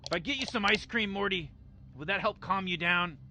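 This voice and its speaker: A man's voice: sits high, a little fast, close to an everyday voice. A man voicing a cocky, eccentric old male scientist in a fan game playthrough, rambling smugly and brushing off worries. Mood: coaxing. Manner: rambling, smug, dismissive. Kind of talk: fan impression during a video game playthrough.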